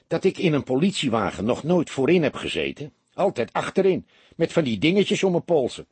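A man's voice: fairly high pitch, sing-song, brisk, darkish tone, husky, no room sound, pitched above the performer's own voice, exaggerated voice. A man voicing a cheeky, defensive small-time crook with colloquial, grumbling talk in an audiobook.

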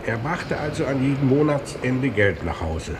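Male actor as police commissioner, mid-pitched voice, terse and probing, vintage radio play, noticeable background noise. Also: very animated, moderate pace, close to an everyday voice. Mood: probing, businesslike. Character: persistent, probing police commissioner on a murder case. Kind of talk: 1950s German radio play acting. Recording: old radio recording with clear background noise.

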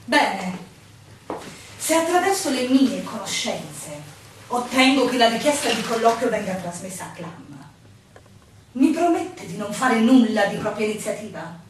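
Proud landlady, high-voiced and echoey. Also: sing-song. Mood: guarded. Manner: measured, dismissive, admonishing. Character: proud, world-weary married woman who runs an inn. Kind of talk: Italian radio drama acting. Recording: echoey room, distant mic, faint noise.

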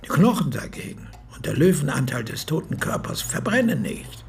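A man's voice: a little high, big pitch swings, darkish tone, a little hiss or hum. An actor playing an 86-year-old former army medic who recounts his memories as a solemn, precise eyewitness in a radio play. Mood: solemn, matter-of-fact. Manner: measured, precise, formal storytelling. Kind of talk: radio play monologue, reminiscence.

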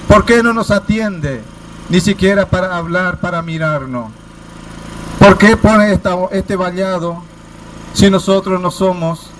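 Impassioned high-voiced man giving a protest speech. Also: slight background noise, rough recording. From Formosa province, Argentina. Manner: impassioned, rhetorical questions, raised voice.

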